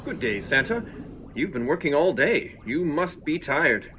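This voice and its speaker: A man's voice: a little high, sing-song, unhurried, sharp tone, a little hiss or hum, full character voice. An actor playing a stern, dignified Martian leader and father in a comic audio drama. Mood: courteous, formal.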